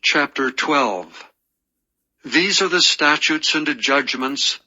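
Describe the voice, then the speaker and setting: A computer voice of a man reading a scripture passage aloud slowly, played through a phone speaker. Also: a little high, pushed and bright, muffled recording, heavily acted. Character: app text-to-speech reading scripture aloud.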